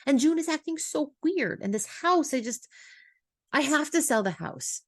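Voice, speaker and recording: A woman voicing an anxious, flustered aunt in a dramatic audio story, halting and emphatic. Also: on the high side, huge pitch swings, dry recording, studio quality, pitched above the performer's own voice, heavily acted. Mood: overwhelmed. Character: anxious, flustered mother-figure aunt, irritable and overwhelmed.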